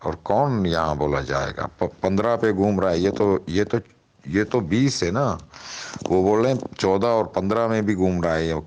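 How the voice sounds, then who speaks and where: A man's voice: low-pitched, moderate pace, darkish tone, slight background noise. A man talking casually in a recorded phone call, briefing someone and repeating his points for emphasis.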